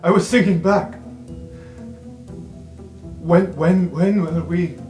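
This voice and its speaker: Guy doing a wiped out jittery assistant type, very polite, halting, tabletop game, echoey. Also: high voice, a little mellow, pitched above the performer's own voice. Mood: frazzled, pleading. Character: exhausted, jittery, deferential young underling calling his superior sir. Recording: echoey room, music underneath.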